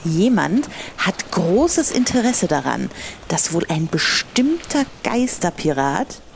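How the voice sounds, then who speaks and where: Woman playing a solemn, low-voiced pirate captain. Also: sing-song, slow, gravelly, heavily acted. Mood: solemn urgency. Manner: declamatory. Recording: faint background noise.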